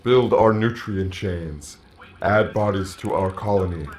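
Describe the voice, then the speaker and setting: An actor playing a grave alien colony that speaks through a man's body, solemn and measured, in an audio drama. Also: low-pitched. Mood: grave, accusing. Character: ancient alien hive-mind plant colony speaking through a man, grave.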